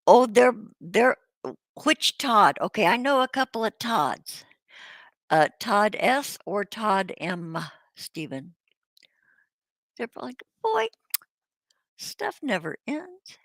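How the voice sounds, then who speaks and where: A woman's voice: mid-range voice, very animated, slow, long pauses, a bit bright, no room sound. A woman hosting a casual livestream chat, rambling, laughing and swearing freely while she loses her voice. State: losing her voice. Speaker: host.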